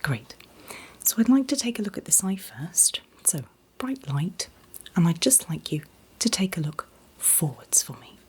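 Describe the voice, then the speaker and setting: A woman in a gentle, soothing ASMR roleplay as an optician, whispering breathily and reassuringly without hurry. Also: lowish voice, big pitch swings, measured pace. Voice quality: breathy, mostly unvoiced whisper. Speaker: ASMR performer playing an optician.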